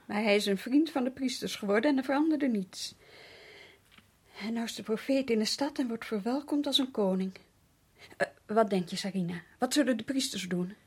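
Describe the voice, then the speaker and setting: An actress playing an inquisitive, urgent colonial official's wife in a radio drama, insistent and questioning. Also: female voice, a little high, normal speed, a little mellow, breathy edge. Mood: probing.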